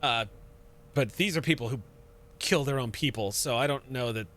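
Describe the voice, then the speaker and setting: A man playing a tabletop role-playing game, speaking in character as a jittery pilot with halting, stumbling speech. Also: medium pitch, fairly bright tone. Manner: nervous, halting, many restarts. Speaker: tabletop player voicing a pilot. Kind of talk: in-character roleplay, casual.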